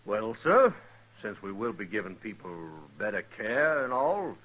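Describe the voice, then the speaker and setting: A money-minded, self-righteous frontier doctor in a radio western. He is husky and lively, smooth-talking with a huffy edge. The 1950s recording sounds dull. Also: fairly low pitch, a little slow, exaggerated voice. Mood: smooth, self-justifying.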